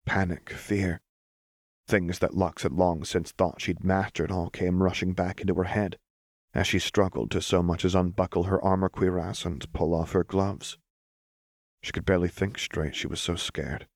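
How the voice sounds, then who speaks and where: A man's voice: sits low, little pitch movement, normal speed, no echo, studio quality. A man reading a dramatic story aloud as scripted audiobook narration. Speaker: audiobook reader.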